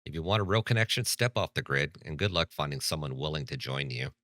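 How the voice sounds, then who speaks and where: A man's voice: rumbling low, expressive, quick pace, bright, forceful tone, no room sound, studio quality. A man hosting a reaction commentary, blunt and lecturing with a sarcastic edge. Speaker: commentary channel host.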